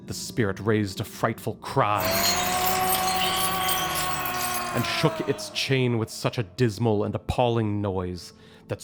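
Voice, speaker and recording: An actor as a measured, grave narrator of an audio drama, telling the story with suspense. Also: male voice, on the low side, close to an everyday voice. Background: music.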